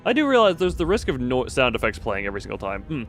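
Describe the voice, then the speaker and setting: A man's voice: medium pitch, huge pitch swings, fast talker. Male game streamer, mid-range voice, casual and rambling, reads lines aloud, music underneath. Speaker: livestream host playing a game. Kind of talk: casual livestream commentary, reading game dialogue aloud.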